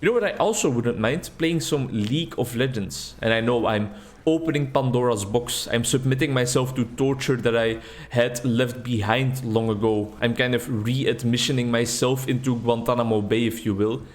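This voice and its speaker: A man's voice: medium pitch, moderate pace. A man who is a native Dutch speaker hosting a gaming video in English, with casual, rambling, playful commentary and dry humor.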